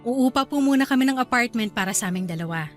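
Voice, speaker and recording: A woman acting a sweet, polite young bride-to-be in a Filipino radio drama, soft and deferential. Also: on the high side, lively intonation, quick pace, faint music behind, lightly acted. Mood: polite, agreeable.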